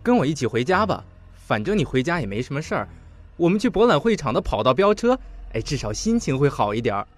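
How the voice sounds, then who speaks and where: An actor playing a cocky teenage student in a radio drama, sardonic and defiant. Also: male voice, fairly high pitch, very animated, fast, soft background music.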